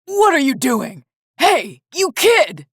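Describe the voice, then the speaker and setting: Lady doing an outraged fat guy yelling at kids in a spooky story, high and loud, echoey. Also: wildly swooping pitch, slow pace, studio quality, exaggerated voice. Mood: indignant, alarmed. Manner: loud, indignant shouting. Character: angry fat man bellowing at kids from his porch.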